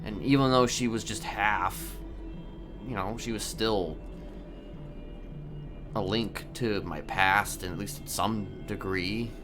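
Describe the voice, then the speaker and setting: A man playing a brooding prisoner in a roleplay game, confiding in a halting, deliberate way and repeating words as he goes. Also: fairly low pitch. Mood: wistful, halting. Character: brooding, confiding male prisoner seeking to reform. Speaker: streamer role-playing a character. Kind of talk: improvised in-character storytelling in a crime roleplay game. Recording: loud music behind.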